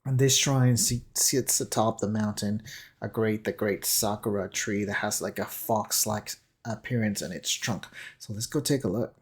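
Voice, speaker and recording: A man giving casual analytical commentary on a video, hesitant and halting as he thinks aloud. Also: on the low side. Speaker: commentator on a game character trailer.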